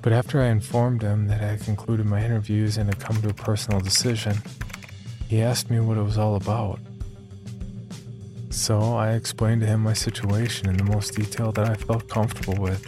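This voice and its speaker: A man performing scripted first-person horror narration as an uneasy young man, in a measured, confiding way with deliberate pauses. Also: fairly low pitch, flat delivery, close to an everyday voice. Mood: wary, confiding. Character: uneasy young man recounting his sleep disorder. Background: background music.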